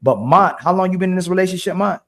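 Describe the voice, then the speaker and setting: Animated man cutting in on a debate. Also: high-pitched, fast. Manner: animated, repeats names to cut in. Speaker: podcast host. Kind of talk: heated casual debate.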